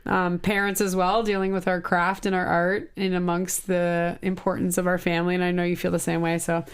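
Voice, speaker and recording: A woman's voice: on the low side, flat delivery, brisk, clear, resonant tone. A woman hosting a podcast interview, chatting loosely with many fillers. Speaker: podcast host. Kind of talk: casual interview chat.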